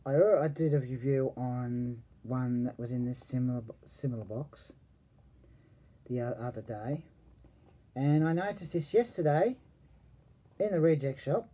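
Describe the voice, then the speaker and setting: Man, 40s, slow unhurried talk, hesitant restarts, trails off quietly, home webcam recording. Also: medium pitch, husky. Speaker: toy reviewer. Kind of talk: casual unboxing review. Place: home room full of toys and posters.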